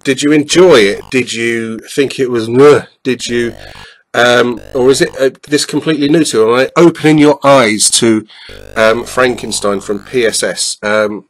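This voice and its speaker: A man's voice: medium pitch, poor audio. A middle-aged man with a stuffed-up nose, casually commenting over a retro game with lots of ums and uhs. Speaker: retro gaming YouTuber.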